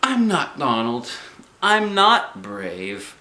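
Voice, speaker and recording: A man reading aloud, voicing an excitable, dramatic little girl who screeches when scared. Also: on the high side, moderate pace, voice pushed up. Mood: glum.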